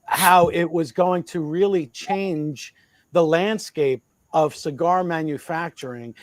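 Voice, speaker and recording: An eager male co-host with a higher-than-average pitch stumbles over repeated words as he praises his guest and builds to a question. The recording is dry and close-miked. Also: dry recording. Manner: enthusiastic, halting with repeated words. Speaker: show co-host. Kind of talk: casual interview chat.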